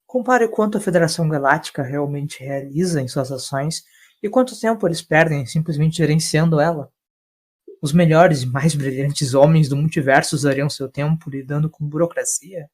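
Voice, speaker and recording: A man voicing a thoughtful, skeptical mystic mage in an audio story, deliberate and rhetorical. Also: a little high, close to an everyday voice. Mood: pensive, skeptical. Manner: deliberate, rhetorical, with long pauses. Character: pensive male mage, measured skeptical mystic.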